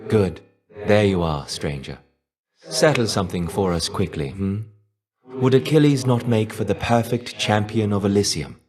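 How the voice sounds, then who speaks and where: A man playing a melancholy, guarded fallen warrior in a video game, speaking in measured, understated, old-fashioned formal phrases with a wry edge. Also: on the low side, a little mellow, lightly acted. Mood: wry, reserved. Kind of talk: video game character voice acting.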